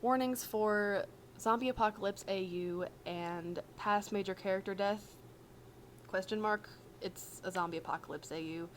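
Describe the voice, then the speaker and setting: A woman narrates a story in a casual, expressive way, with a medium-pitched voice. The amateur recording carries a faint layer of noise behind her. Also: normal speed. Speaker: podfic reader. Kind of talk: expressive fan fiction narration.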